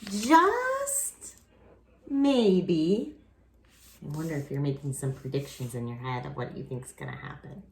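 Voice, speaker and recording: A woman reading a picture book aloud expressively, with dramatic pauses and casual asides to young listeners. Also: sits low, very animated, slight background noise, low-quality recording. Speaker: children's picture book reader.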